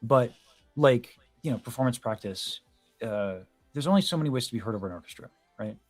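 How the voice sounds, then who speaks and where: A man's voice: mid-range voice, animated, fairly quick. A man talking voice technique, music behind. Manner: analytical, explains vocal technique in detail. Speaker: host, vocal coach. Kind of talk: casual video-call chat about singing.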